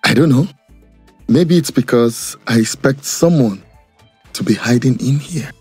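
An actor playing a curious young male friend with a husky voice in an audio drama. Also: mid-range voice, a little slow, darkish tone, faint music behind.

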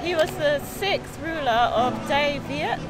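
A woman's voice: sits high, slow pace, loud music behind the voice, over a noisy crowd. A woman in her late twenties talking casually to camera as a travel vlogger. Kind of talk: casual vlog chat to camera. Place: mostly outdoors in busy city streets and squares.

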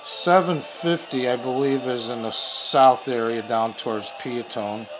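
A man calmly talks through a radio demo at a table indoors, thinking aloud as he tunes. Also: medium pitch, music in the background, rough recording. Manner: plain, unhurried, thinking aloud. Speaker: radio reviewer. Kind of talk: casual hands-on product demo. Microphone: camera mic close to the radio. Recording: loud static and radio hiss behind.

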